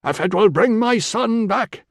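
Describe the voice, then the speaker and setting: A man playing a stern, anguished old father and chieftain in an audiobook, forceful and grave. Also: sits high, animated, measured pace, no echo, studio quality, pitched above the performer's own voice, exaggerated voice. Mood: anguished, commanding.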